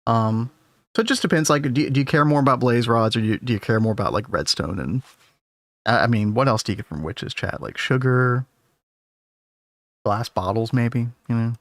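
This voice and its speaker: A man streaming a game in casual, rambling talk to his chat, his voice a little hoarse. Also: medium pitch, normal speed, no echo. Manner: rambling, easygoing, talks to chat. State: losing his voice. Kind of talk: casual gameplay commentary.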